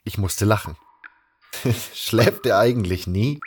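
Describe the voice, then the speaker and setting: A man's voice: fairly low pitch, normal speed, close to an everyday voice. A man playing an earnest, brooding adventurer who tells his own story in a fan audio drama. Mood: wry, curious. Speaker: narrator and lead actor in a fan audio drama. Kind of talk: first-person dramatic narration and dialogue.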